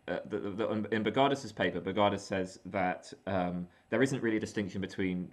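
Hesitant low-voiced man asking questions. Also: a little fast. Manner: hesitant, careful clarifying questions. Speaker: host. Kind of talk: casual philosophy discussion.

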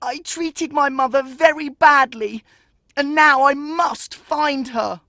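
Brief: woman playing a haughty young boy, high and bright, slow, sneering delivery in a storybook reading. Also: dry recording, heavily acted. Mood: remorseful. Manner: sneering, scornful outbursts. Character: proud young boy, vain and cruel, later remorseful. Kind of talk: audiobook character acting.

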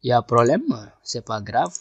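A man giving a screen-recorded software tutorial, talking through each click step by step and often repeating himself. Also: medium pitch, animated, fairly quick, dry recording. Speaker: software instructor. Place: at a computer, voice over screen capture.

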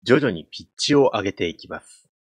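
A man's voice: lowish voice, animated, brisk, breathy edge. A man doing mic checks and reading a prepared text at a desk in a small room. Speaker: video creator testing a voice changer.

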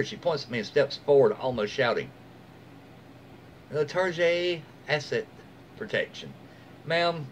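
American guy in his 40s reading stuff out loud, real animated and folksy, bit of room noise. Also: fairly high pitch. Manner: folksy, informal. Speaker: host reading online stories aloud. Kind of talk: casual read-aloud with asides. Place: small room at home, indoors. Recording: faint room noise behind voice.

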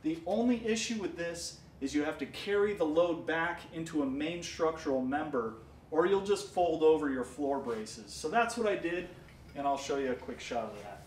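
A man's voice: fairly high pitch, animated, normal speed, reverberant, distant mic, a little hiss or hum. A man casually walking through how he repaired his basement walls, explaining plainly and restarting his sentences as he goes. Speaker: homeowner doing a DIY repair.

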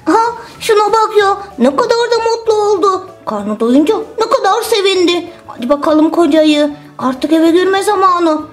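A woman voicing a kindly, patient male bear, gentle and reassuring, in a children's toy story. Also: very high voice, expressive, moderate pace, few pauses. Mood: pleased, gentle.

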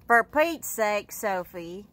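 A woman's voice: mid-range voice, animated, slow pace, fairly bright tone, no room sound. A woman voicing a grumpy older brother, scolding in an exasperated tone. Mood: irritated.

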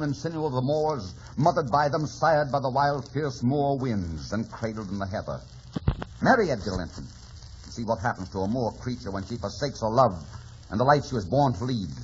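A man's voice: mid-range voice, natural voice. Casting: male actor for intense, declamatory wild lover in a radio play; muffled vintage sound with slight noise. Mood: bitter, passionate. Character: bitter, passionate wild young moorland lover. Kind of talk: 1940s radio drama acting. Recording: dull old radio recording, faint noise.

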